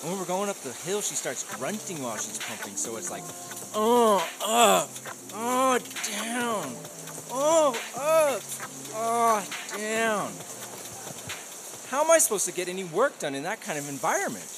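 A man's voice: high voice, sing-song, a little slow, background noise, voice pushed up, full character voice. A man playing a dim, tactless police officer who complains cluelessly in a comedic audio drama. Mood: oblivious complaining. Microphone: studio condenser mic.

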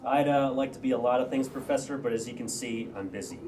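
A man's voice: medium pitch, quick pace, a little mellow, close to an everyday voice. Guy doing grumpy sarcastic janitor at a script reading, kinda far from mic, noisy. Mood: dry sarcasm. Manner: dry, sarcastic. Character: sarcastic, grumpy male janitor, world-weary. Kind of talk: staged screenplay reading. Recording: distant stage mic, clear background noise.

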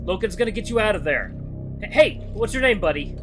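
Horror story lead, male narrator as a terrified runner, urgent high-pitched pleading to a child, stammering, music underneath. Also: sing-song, fairly quick. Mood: panicked pleading. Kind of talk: dramatic first-person horror story acting.

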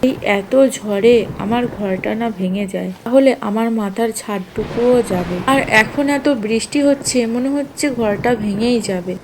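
A woman's voice: mid-range voice, normal speed, few pauses, lightly acted. A woman voicing a poor, hungry orphaned fisherwoman in a cartoon story, lamenting yet resilient. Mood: despairing. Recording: clear background noise and ambience.

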